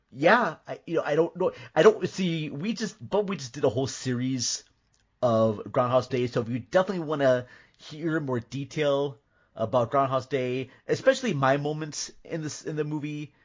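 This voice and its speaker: A man chats casually as a podcast host. His voice sits at a medium pitch and his manner is joking and mock-serious. The audio is a bit dull. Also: moderate pace.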